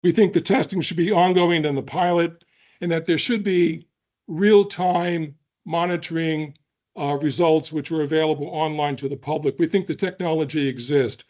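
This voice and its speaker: A hoarse man who is losing his voice, giving an earnest public comment at a hearing with deliberate pauses and throat clearing. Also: a little high, darkish tone.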